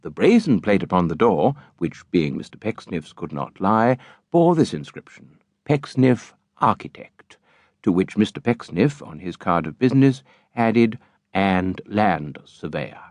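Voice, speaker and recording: Low-voiced man narrating, lively intonation. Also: normal speed, dry recording. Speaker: audiobook narrator. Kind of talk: expressive scripted literary narration.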